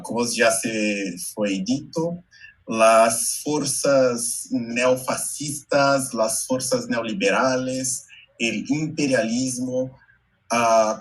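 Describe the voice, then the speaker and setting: A Brazilian man whose first language is Portuguese, speaking slowly and haltingly in Spanish mixed with Portuguese, giving a talk over a video call. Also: mid-range voice, poor audio. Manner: slow, careful, halting Portuguese-Spanish mix with many pauses. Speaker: invited speaker, educator.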